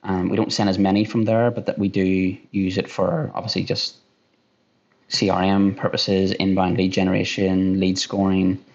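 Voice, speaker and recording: A man in his thirties with an Irish accent, a sales director answering interview questions on a video call, talking fast and restarting often. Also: low voice, flat delivery, darkish tone. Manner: fast-talking, repeats himself, many restarts. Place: room at home by a window. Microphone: headphones on a video call. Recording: dull lo-fi call audio.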